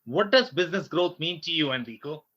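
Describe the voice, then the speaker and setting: A man's voice: on the high side, lively intonation, brisk, bright, forceful tone, muffled recording. A man hosting a business podcast, reading a formal scripted introduction and asking questions. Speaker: podcast host.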